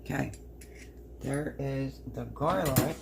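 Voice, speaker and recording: A woman with a hoarse voice casually explaining a recipe step by step in her home kitchen. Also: rumbling low, slow, noisy background. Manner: chatty, explains step by step while working. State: hoarse, partly lost voice. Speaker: home cook. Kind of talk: casual cooking demonstration.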